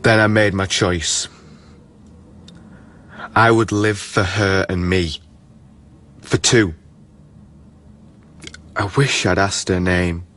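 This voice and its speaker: A man playing a remorseful, tender former junkie, telling his story in measured rhyme in a poetic radio drama. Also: sits low, steady tone, a bit bright. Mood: haunted, tender. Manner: measured, rhyming, confessional storytelling.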